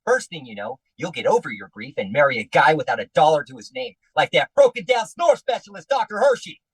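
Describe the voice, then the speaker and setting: An actor playing a grumpy, nervous husband in a 1940s-style radio comedy, sarcastic and grumbling with quick comebacks. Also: male voice, fairly high pitch, very animated, breathy edge, no room sound, distant mic, low-quality recording. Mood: ranting. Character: grumpy, nervous middle-aged husband before surgery.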